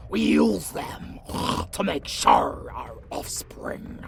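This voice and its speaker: Creaking, drawling dark creature. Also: female voice, fairly low pitch, big pitch swings, very slow, exaggerated voice. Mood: sly menace. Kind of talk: fantasy audio drama acting. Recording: clear background noise.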